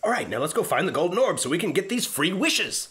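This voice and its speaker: A man's voice: high voice, sing-song, fast, a bit bright. A man playing a pompous, sarcastic starship captain in a comic science fiction radio play, blustering and dismissive. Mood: sarcastic, impatient.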